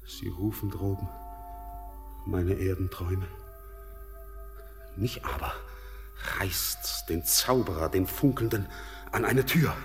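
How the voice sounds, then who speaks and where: An actor playing a young male miner lost in a feverish vision, speaking in a breathy whisper. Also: deep voice, takes it slowly, pauses often. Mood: entranced. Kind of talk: classic radio play acting. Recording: long reverb with music underneath.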